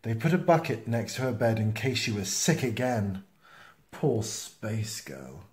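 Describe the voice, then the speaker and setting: A man reading a children's picture book aloud in an expressive, playful manner. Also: on the low side. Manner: expressive, slow, with playful sound effects and asides. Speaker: storytime reader. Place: small indoor room, seen close to the camera. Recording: roomy, echoey home video sound.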